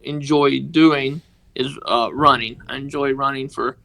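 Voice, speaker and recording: A man with a Mississippi accent, telling a personal story on a podcast in a halting, earnest way. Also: medium pitch, steady tone, creaky, pushed and bright, poor audio. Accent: Southern US, Mississippi. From northeast Mississippi, United States. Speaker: podcast guest, organization member telling his story.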